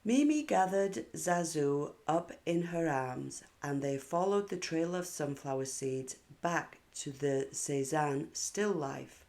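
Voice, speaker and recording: A woman narrating a children's storybook in an expressive, measured storytelling manner. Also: deep voice. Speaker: children's book read-aloud narrator.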